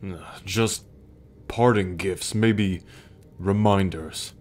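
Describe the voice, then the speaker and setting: Man acting a haughty, slow, deep sun god. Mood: defensive, scornful. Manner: haughty, scornful, formal, sighing. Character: arrogant male sun god, imperious brooding captor husband. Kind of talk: ASMR roleplay audio drama. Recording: background music under the voice.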